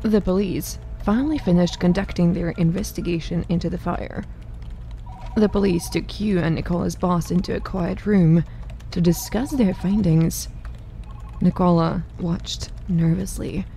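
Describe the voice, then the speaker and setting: Low, creaky woman narrator. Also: fairly even intonation, unhurried, dark tone, a little hiss or hum. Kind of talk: scripted narration of an audio drama.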